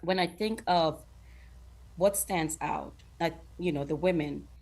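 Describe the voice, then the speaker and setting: A woman's voice: sits low, takes it slowly, a little mellow. A middle-aged woman from Guyana, a talk show guest who speaks earnestly, laughs often and acts out what other people say. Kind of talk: casual interview chat.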